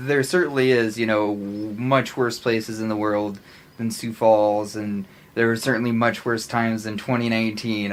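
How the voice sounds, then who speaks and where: An American man chatting casually as a podcast co-host, often halting as he searches for his words. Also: fairly low pitch.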